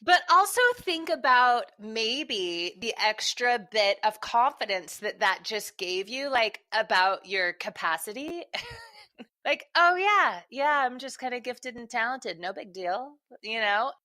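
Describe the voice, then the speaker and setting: Bright-voiced woman hosting a podcast. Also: a little high, expressive, measured pace. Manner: encouraging, warm questions, laughs along. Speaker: podcast host. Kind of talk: conversational podcast interview.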